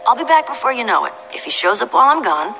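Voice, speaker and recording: Young streetwise heroine: self-assured, animated delivery, game dialogue over clear music. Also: female voice, on the high side, fast talker. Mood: confident. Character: confident, streetwise young female adventurer. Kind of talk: video game voice acting. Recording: music behind the voice.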